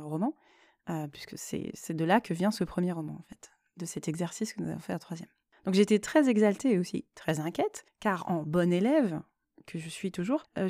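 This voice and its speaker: A woman talking reflectively on her own as a podcast host, thoughtful and hesitant, often pausing mid-phrase. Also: mid-range voice, dark tone, no echo, clean studio sound. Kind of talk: reflective solo podcast talk.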